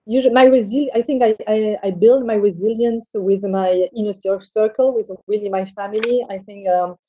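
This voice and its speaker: Middle-aged Belgian executive woman, deep mellow tone, halting answers full of fillers. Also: steady tone, poor audio. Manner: hesitant, many fillers and restarts. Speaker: bank CEO guest. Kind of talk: interview answers.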